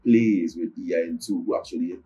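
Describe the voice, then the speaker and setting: A young man from Nigeria, a first-language Yoruba speaker, explaining in a measured and earnest way as a guest musician in an interview. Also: sits low, mellow, lo-fi sound. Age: young adult. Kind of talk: interview answers.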